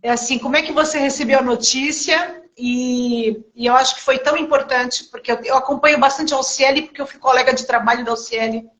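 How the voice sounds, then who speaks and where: Chatty higher-voiced woman interviewer. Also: fairly even intonation, brisk, few pauses, fairly bright tone. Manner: chatty, frequent tag questions. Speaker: interview host. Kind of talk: casual live-stream interview. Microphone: remote call over a live stream.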